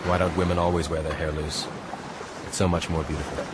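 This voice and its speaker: An actor playing an earnest, considerate gentleman castaway in a radio drama, with a mild, hesitant, courteous manner. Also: a bass voice, little pitch movement, brisk. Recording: noisy boat and water ambience behind.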